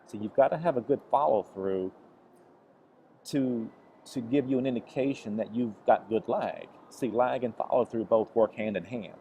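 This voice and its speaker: A man's voice: medium pitch, normal speed, mellow, slight background noise, rough recording. A man recovering from the flu, teaching a golf lesson over swing footage, with frequent restarts and a repeated "okay". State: recovering from flu, voice deeper than usual. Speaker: golf instructor.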